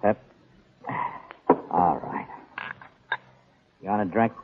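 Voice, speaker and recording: A man's voice: deep voice, takes it slowly, slight background noise. An actor playing a weary, grumbling aging gambling boss who talks in slangy tough-guy style in a 1940s radio drama. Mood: resigned, confiding. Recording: tinny band-limited 1940s radio broadcast.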